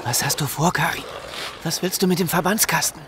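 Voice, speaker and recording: An actor playing an anxious, doubting young survivor in a German zombie audio drama, nervous and sceptical. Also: male voice, a little high, fairly quick, background noise, close to an everyday voice. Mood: sceptical, alarmed. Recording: loud ambience sound effects behind voice.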